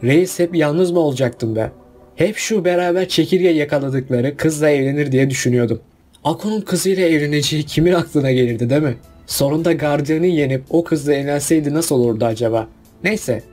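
A man's voice: a little high, a little fast, a little mellow, faint music behind. A man narrating a casual countdown video about cartoon finales in a chatty voice-over.

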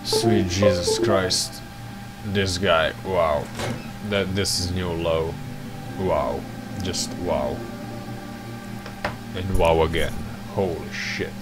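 Man, deep drawl, wry reactive game commentary. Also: fairly even intonation, loud music behind the voice. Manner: low, slow, swearing and mumbling reactions. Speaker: gameplay streamer. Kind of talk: casual gameplay commentary.

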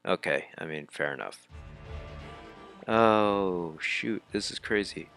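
A man's voice: low-pitched, lively intonation, slow, creaky, fairly bright tone. A man giving casual, laid-back commentary while playing a video game. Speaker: let's-player. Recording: game music behind. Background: video game music.

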